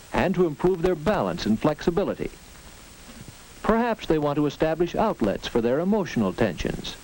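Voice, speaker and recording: A man's voice: a little high, rough recording. A man narrating a 1940s educational film, earnest and instructive, on an old soundtrack with faint hiss and clicks. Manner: earnest, instructive, lively delivery.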